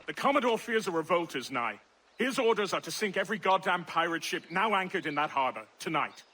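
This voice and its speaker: An actor playing a stern, barking military officer in a video game, commanding and clipped, with a rough edge. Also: male voice, high voice, expressive, fairly quick, sharp tone, exaggerated voice. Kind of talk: dramatic video game acting.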